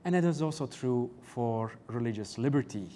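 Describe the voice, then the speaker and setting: A man's voice: mid-range voice, lively intonation, mellow, a little hiss or hum. European-accented man giving a panel talk. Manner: measured, many "uh" and "um" fillers, rhetorical questions. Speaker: panelist, canon law professor.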